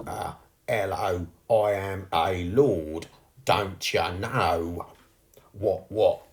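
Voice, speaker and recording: A man voicing a chimney sweep who affects a pompous, drawn-out upper-class English accent as a lord, in a storybook reading. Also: sits low. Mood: mock-pompous. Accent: mock upper-class English.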